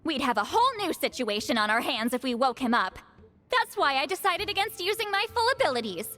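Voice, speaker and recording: A sassy, proud young female seer from a video game. Her high voice swoops expressively, brash and teasing. It carries a long reverb with music behind it. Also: sing-song, fairly quick, sharp tone, exaggerated voice. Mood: confident, explaining. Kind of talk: animated video game cutscene acting.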